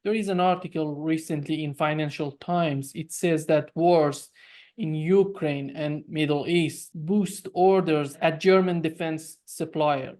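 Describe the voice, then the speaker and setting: An interview host asking a guest measured, inquiring questions. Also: mid-range voice, steady tone, a little mellow.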